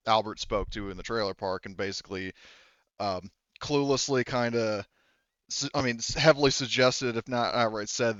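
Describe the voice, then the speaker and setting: A man running a tabletop roleplaying game as game master, explaining casually and haltingly, often repeating and rephrasing himself. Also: on the low side, fairly bright tone, clear, resonant tone, no room sound, muffled recording.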